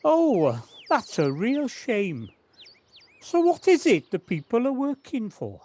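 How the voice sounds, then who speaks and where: An actor playing a kindly, modest gardener in an audio drama, hesitant and polite with slight stammers. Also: male voice, very high-pitched, huge pitch swings, takes it slowly, a little mellow, a little hiss or hum, full character voice. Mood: keen, encouraging. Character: kindly, hesitant village gardener, Alan, modest and nature-loving. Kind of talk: amateur audio drama acting.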